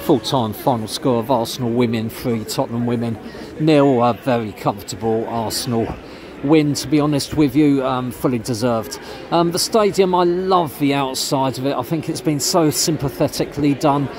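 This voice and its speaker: A man's voice: mid-range voice, moderate pace, crowd noise. A man giving a casual walking commentary as a vlogger, talking with lots of ums while he films on his phone around a big football stadium. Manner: chatty with many ums. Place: outdoors and inside a large football stadium. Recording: noisy outdoor stadium recording.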